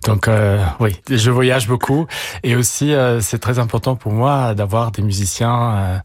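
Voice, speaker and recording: A man's voice: medium pitch, a little slow, no room sound, studio quality. A man whose first language is German, a guest musician in a radio interview, answering hesitantly with many fillers. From Italy.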